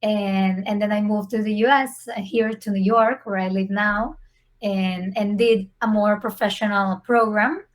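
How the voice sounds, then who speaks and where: A woman's voice: mid-range voice, steady tone, unhurried. Woman in her 30s from Venezuela, podcast guest, earnest storyteller. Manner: warm, earnest, with small slips in phrasing. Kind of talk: casual interview storytelling.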